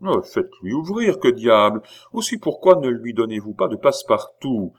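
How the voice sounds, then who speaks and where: A man voicing a calm, mocking gentleman stranger, politely ironic, in a storybook reading. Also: mid-range voice, normal speed. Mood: unconcerned.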